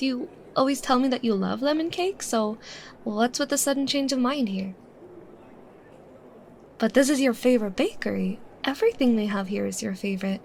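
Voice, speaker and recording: High female voice, actress playing bubbly young friend, teasing, expressive, casual roleplay chat, audible background ambience. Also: slight background noise, close to an everyday voice. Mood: playful disbelief. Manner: playful, giggly, expressive. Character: bubbly, teasing young woman chatting with her secret crush.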